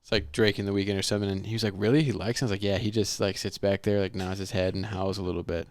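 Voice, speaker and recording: A man co-hosting a podcast in casual chat, teasing his friends with deadpan jokes. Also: fairly low pitch, very fast, no echo. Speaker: podcast co-host.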